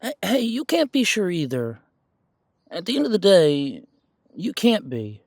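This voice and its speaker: A man acts out a pure-blood werewolf full of self-doubt in an intimate audio drama. His voice sits a little high for a man and is recorded very dry. He talks haltingly, tripping over words, defensive and unsure of himself. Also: wildly swooping pitch, no echo, full character voice. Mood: defensive, exasperated. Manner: hesitant, halting, stammering. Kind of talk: audio roleplay drama, one side of an intimate conversation.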